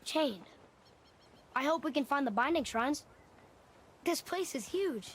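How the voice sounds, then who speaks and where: A woman's voice: high voice, animated, measured pace. A performer voicing a curious, earnest young boy adventurer in a video game, inquisitive and eager. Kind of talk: video game dramatic acting.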